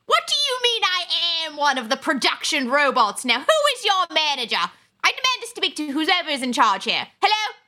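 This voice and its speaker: A woman's voice: sits high, big pitch swings, normal speed, bright, forceful tone, exaggerated voice. A woman playing a pompous, easily offended English countess who is a ship's computer, theatrical and indignant in a comic audio drama. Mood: indignant, flustered. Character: haughty, easily offended bodiless ship-computer countess, snobbish and melodramatic.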